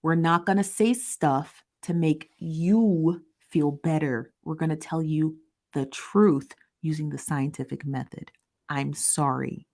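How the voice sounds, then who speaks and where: A woman's voice: low voice, darkish tone. A woman hosting a commentary show, arguing her case with emphatic repetition and reading viewer comments. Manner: emphatic, repetitive, argumentative, with quick laughs.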